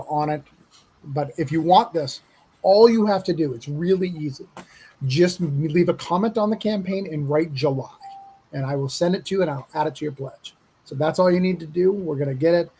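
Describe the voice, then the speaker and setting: A man with a husky, gravelly voice hosting a casual livestream, talking informally and in halting pieces. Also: fairly high pitch, warm tone. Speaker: livestream host and comic project organiser. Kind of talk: casual livestream announcement and chat.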